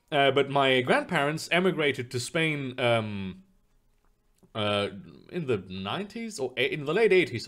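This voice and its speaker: A man's voice: medium pitch, a bit bright. A young man streaming live, chatting loosely with his viewers in a rambling way, full of fillers and casual swearing. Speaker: streamer reading chat.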